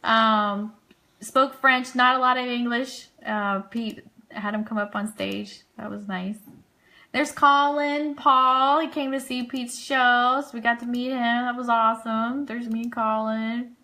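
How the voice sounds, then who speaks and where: A woman's voice: on the high side, fairly even intonation, a little slow, sharp tone. A woman giving casual live commentary on trip photos as host of an online fan show, chatty and enthusiastic.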